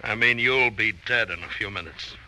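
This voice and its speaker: A man's voice: on the low side, moderate pace, sharp tone, rough recording. An actor playing a doomed, bitterly resigned man in a 1940s radio drama, speaking with grave, accusing deliberation on a vintage broadcast. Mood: grim. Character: doomed, bitterly resigned man facing his blackmailing friend.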